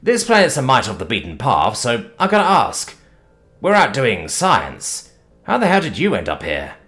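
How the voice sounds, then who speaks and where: A man's voice: medium pitch, huge pitch swings, moderate pace, a bit bright, soft background music. A man doing a folksy drawling country fellow. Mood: curious. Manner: drawling country talk, hearty. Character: folksy friendly rural man, easygoing.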